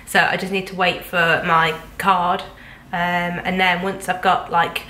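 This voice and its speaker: A woman's voice: on the low side, level tone, a little slow, sharp tone. A young woman with a cold, chatting casually to camera in a rambling way, her voice stuffy and nasal. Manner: chatty and rambling, many ums and likes. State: congested with a cold. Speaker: vlogger. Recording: echoey room.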